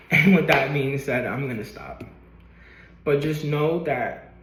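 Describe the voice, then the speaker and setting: A man's voice: medium pitch, slight background noise. Earnest swearing young man in echoey room. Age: 20s. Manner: earnest, rambling, swears casually, repeats himself. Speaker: vlogger. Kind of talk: motivational vlog talking to camera. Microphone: camera.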